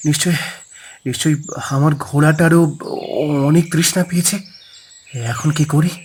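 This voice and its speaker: Man voicing a high-pitched polite young prince. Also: flat delivery, darkish tone, a little hiss or hum, close to an everyday voice. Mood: thirsty, searching. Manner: courteous, earnest, halting. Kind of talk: dramatic cartoon voice acting. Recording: faint music behind the voice. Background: faint music.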